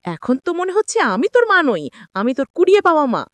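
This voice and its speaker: Woman playing a high-pitched, scolding angry mother. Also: wildly swooping pitch, brisk, no echo. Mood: reproachful anger. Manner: scolding, reproachful.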